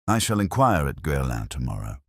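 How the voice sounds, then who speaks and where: A man voicing a shrewd, controlled Victorian detective in a storybook reading, speaking in a dry, measured way. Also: extremely low pitch, big pitch swings, fairly bright tone, no room sound, exaggerated voice. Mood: quietly intent.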